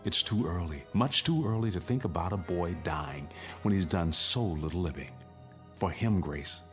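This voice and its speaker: A stern father, animated delivery. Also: deep voice, moderate pace, faint music behind. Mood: grave, subdued. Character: stern, resolute suburban father guarding his family. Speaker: actor in a radio drama. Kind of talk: dramatic radio acting.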